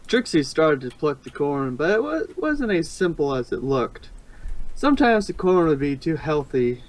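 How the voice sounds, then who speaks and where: A man's voice: medium pitch, low-quality recording. A man narrates a story aloud in an ordinary mid-range voice. His reading is informal and unpolished, with the odd stumble and reread. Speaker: narrator, fan fiction reader.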